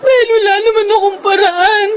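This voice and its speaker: A woman playing a distraught pregnant young housemaid in a radio drama, tearful, halting and pleading. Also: very high-pitched, fairly even intonation, dull audio, a little hiss or hum. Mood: sobbing, ashamed.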